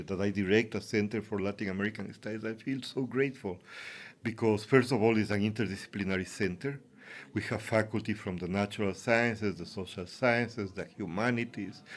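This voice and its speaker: Male professor, low voice, Ecuadorian Spanish accent, answering interview questions reflectively. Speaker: academic guest, professor.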